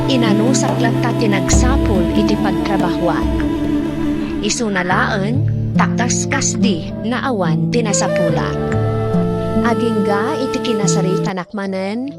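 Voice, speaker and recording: A woman's voice: medium pitch, natural voice. An actress playing a young Ilocano graduate, earnestly narrating her struggle in a radio drama.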